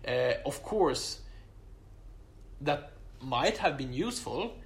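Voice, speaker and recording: A man speaking English, which is not his first or second language, answering interview questions about his application in a measured way, with many fillers and restarts. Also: mid-range voice, animated. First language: not English; English is his third language.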